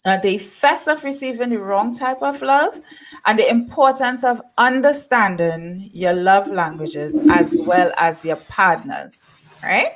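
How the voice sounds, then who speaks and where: A woman's voice: mid-range voice, unhurried, low-quality recording. A woman from Trinidad, an upbeat and chatty podcast host who laughs often. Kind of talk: casual podcast chat.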